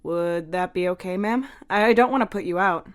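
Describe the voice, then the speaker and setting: A woman's voice: fairly low pitch, normal speed, lightly acted. Woman doing a cheeky, easygoing teenage boy. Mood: polite. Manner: teasing, casual.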